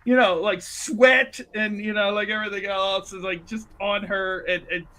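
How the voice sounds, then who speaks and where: A tabletop roleplaying player casually improvising narration of a character's actions, animated and giggly, often rephrasing midway. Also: medium pitch, moderate pace, rough recording. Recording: clear background noise.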